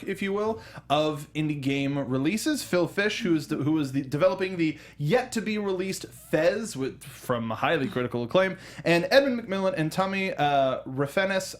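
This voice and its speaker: A man hosting a film review show in casual discussion, often restarting and repeating his phrases. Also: fairly high pitch.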